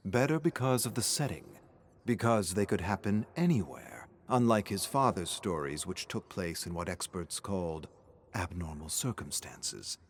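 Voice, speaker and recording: A man narrating a scripted dark horror story in a measured, dramatic way, with deliberate pauses and phrases that sink toward a hush. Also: low-pitched, slight background noise.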